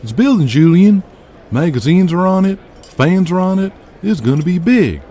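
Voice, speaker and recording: A man's voice: high voice, very animated, normal speed, mellow, pitched above the performer's own voice. A man voicing a brash, pushy male wrestling promoter in an audio drama, urgent and emphatic. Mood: excited.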